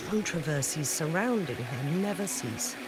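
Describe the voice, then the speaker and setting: A woman voice actor playing a courteous, composed town official in a video game, speaking in formal, measured, polite phrases. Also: low-pitched, animated, very noisy. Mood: thoughtful. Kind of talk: scripted video game dialogue. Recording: loud music over the voice.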